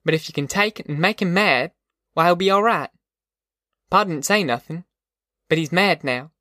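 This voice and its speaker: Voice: mid-range voice, normal speed, sharp tone, no room sound, studio quality, pitched above the performer's own voice, lightly acted. A narrator voicing a tough, determined middle-aged farm mother in an audiobook, with blunt, folksy rustic speech. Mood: proud, knowing. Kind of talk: audiobook character voice.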